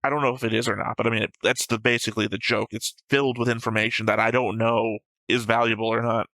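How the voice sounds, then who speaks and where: Guy with bright gravelly voice, close dry sound, swears a lot, argues bluntly in casual chat. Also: medium pitch, level tone, quick pace, no echo, poor audio. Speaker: host of anime reaction show.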